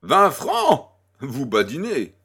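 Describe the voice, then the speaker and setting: An actor playing an urbane, grandiose baron, florid, courteous and self-assured, in a French audio drama. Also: male voice, mid-range voice, huge pitch swings, takes it slowly, vocal fry, bright, forceful tone, slightly rough, heavily acted. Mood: confident.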